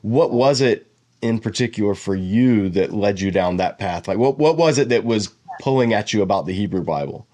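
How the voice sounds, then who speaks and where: Guy hosting a podcast, low voice, chatty and upbeat when asking stuff. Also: expressive, moderate pace, dark tone. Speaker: podcast host. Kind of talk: interview host questions.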